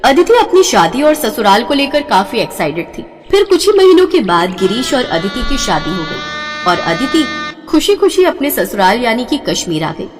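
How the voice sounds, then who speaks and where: A woman's voice: on the high side, sing-song, moderate pace, in a large hall, music in the background. A woman reading scripted story narration as a storyteller.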